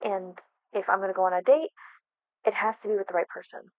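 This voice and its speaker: A woman's voice: medium pitch, quick pace, no echo, dull audio, natural voice. A young woman acting in an ASMR roleplay as a flustered best friend hiding a crush, speaking casually with hesitant stammers. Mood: casual, evasive. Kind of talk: ASMR roleplay, one-sided conversational acting.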